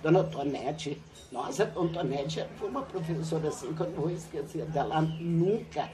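An older woman, a retired teacher, recounting her school days in a relaxed interview on a home patio. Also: deep voice, moderate pace, noisy background. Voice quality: lively, animated storytelling with laughs. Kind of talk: reminiscing interview. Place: covered outdoor patio at a home.

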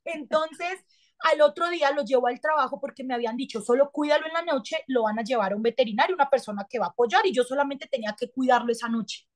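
High-voiced chatty woman. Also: quick pace. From Colombia. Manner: lively, gestures a lot, chatty with slang. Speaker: actress and TV host, guest. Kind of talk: casual interview over video call. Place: small indoor room. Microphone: webcam on a video call.

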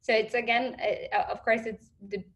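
A young woman giving informal panel answers on a video call, halting and often restarting as she thinks. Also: on the high side, level tone. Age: young adult.

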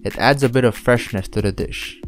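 A man's voice: mid-range voice, a little fast, faint music behind. Filipino man narrating a home cooking video. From Philippines. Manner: relaxed, dry humor, instructional. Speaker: cooking channel host.

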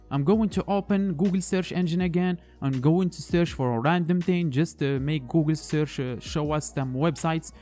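Man narrating a screen tutorial, mellow. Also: a little high. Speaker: tutorial host. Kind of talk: screen-recorded tech tutorial voice-over.

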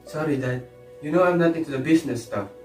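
A man's voice: on the high side, fast talker, a little mellow, lightly acted. A young man playing a dutiful, downhearted son in an amateur melodrama, apologetic and earnest. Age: young adult. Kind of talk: amateur one-act melodrama. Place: small living room, indoors. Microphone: far camera. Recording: echoey living room, distant mic, music.

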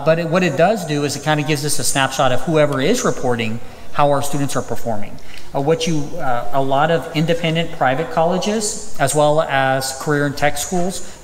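A man's voice: on the high side, a little hiss or hum. A man in his thirties presenting at a school district public hearing from a podium, reading through items with frequent ums and uhs. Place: large empty auditorium. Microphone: podium microphone. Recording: echoey auditorium, distant reverb.

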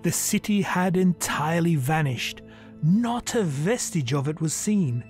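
A man narrating a literary story as a scripted reading, measured and deliberate. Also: a little high, expressive, darkish tone. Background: music.

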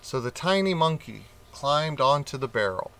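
A man reading a children's storybook aloud with gentle, expressive narration. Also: medium pitch, moderate pace. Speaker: audiobook reader.

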